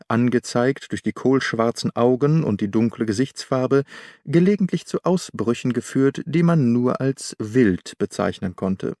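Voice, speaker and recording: A man narrates an audiobook in a formal, measured way. His voice sits at a medium pitch. The recording is very dry and close, with hardly any room sound. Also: dark tone, no room sound. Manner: measured, formal literary storytelling.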